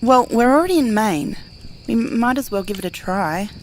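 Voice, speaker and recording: A woman's voice: fairly high pitch, animated, normal speed, a little hiss or hum, natural voice. A woman acting as a worn-out, complaining survivor in an audio drama, speaking naturally with a sarcastic, grumbling manner. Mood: insistent. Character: Jackie, weary complaining female survivor in a plague-ridden America.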